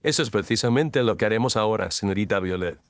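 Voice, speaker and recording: A Victorian detective, played by a man in an audio mystery. The voice is on the low side, recorded very dry. He is composed and incisive, setting things out in formal, deliberate speech. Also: lively intonation, no room sound. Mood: probing, assured. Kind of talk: Spanish audio drama mystery acting.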